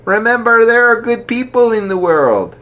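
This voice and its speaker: A storyteller voicing a tall, jolly older archbishop who speaks kindly and gently, pitched far above their own voice. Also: male voice, very animated, moderate pace, exaggerated voice.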